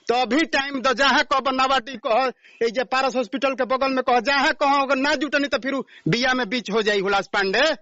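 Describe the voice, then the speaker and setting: High-pitched combative Bihari man on phone. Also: sharp tone, dry recording. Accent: Bhojpuri-belt Bihar. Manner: combative, insistent, repeats tag questions. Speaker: caller in a dispute. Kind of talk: heated phone argument. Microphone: phone call. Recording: dull lo-fi recorded phone call.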